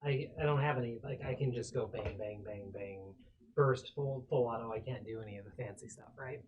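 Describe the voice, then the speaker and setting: A man's voice: medium pitch, a little fast, darkish tone, dull audio, slight background noise. A man chatting casually and a bit swearily as a player in a tabletop game. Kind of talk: casual chat.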